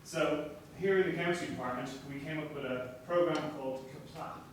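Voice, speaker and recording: A young Irish man giving an academic talk as a lecturer, relaxed and self-deprecating, with frequent fillers. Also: on the high side, brisk. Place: seminar room. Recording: echoey room from far off, noisy.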